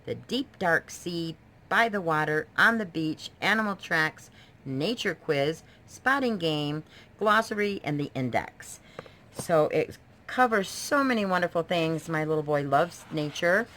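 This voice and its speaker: A woman's voice: low-pitched, expressive, measured pace, fairly bright tone. A woman giving a casual show-and-tell book review, talking in an enthusiastic, explanatory way like a homeschooling mother. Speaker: homeschooling mother presenting books. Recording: noisy home video recording.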